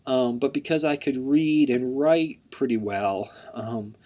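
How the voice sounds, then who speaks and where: A man's voice: medium pitch, unhurried, warm tone. Guy in his 30s intro-ing his online class, mild stutter, repeats words, lots of ums, regular mid voice. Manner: mild stutter with word repetitions; frequent "um" fillers, earnest and plain. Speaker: instructor. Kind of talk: online course introduction lecture.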